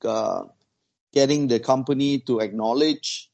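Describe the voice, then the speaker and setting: A man's voice: mid-range voice, creaky, a little mellow, muffled recording. A man, telling a story in casual group conversation, with many restarts and "you know" fillers. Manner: halting, restarts phrases, uses "you know" and "lah".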